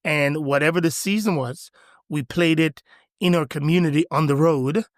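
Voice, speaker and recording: A man's voice: a little high, normal speed, no echo, studio quality. A middle-aged man from Jamaica, a pastor telling stories with energy as a podcast guest. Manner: enthusiastic, animated storyteller. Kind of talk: podcast interview conversation.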